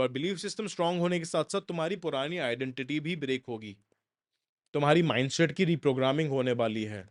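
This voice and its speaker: A man's voice: fairly high pitch, darkish tone, dry recording, clean studio sound. A young man giving a motivational self-help lecture, talking straight at the listener and repeating points for emphasis. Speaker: self-help course instructor.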